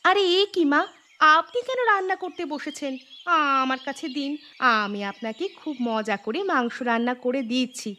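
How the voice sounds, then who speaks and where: A woman's voice: high voice, moderate pace, sharp tone. A woman playing a young village daughter-in-law in a cartoon story, competitive and eager to please, with a deferential yet boastful manner. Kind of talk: scripted cartoon story acting.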